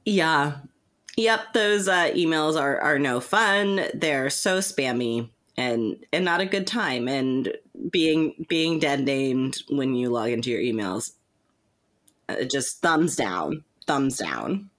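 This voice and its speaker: A woman's voice: very deep voice, animated, slow, fairly bright tone. A woman co-hosting a podcast, chatting casually in an informal, emphatic way and often saying "like". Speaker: podcast co-host.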